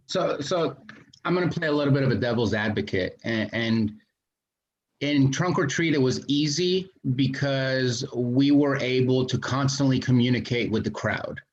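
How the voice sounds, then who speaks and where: A man speaking at a city committee meeting, talkative, with a voice he calls very loud. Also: medium pitch, moderate pace, lo-fi sound, slight background noise. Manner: talkative, self-described loud voice. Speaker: committee member. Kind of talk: meeting discussion.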